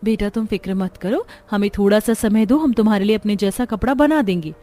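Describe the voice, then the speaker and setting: A woman's voice: mid-range voice, fairly quick, mellow, no echo, lightly acted. A woman voicing a kind, resourceful young village daughter-in-law in a cartoon story, earnest and caring.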